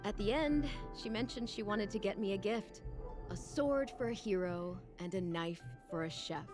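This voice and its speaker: A woman's voice: medium pitch, expressive, moderate pace, natural voice. A woman voicing a hearty, gracious chef of a humble eatery in a video game. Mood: welcoming, fond. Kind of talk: English video game voice acting. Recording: music behind the voice.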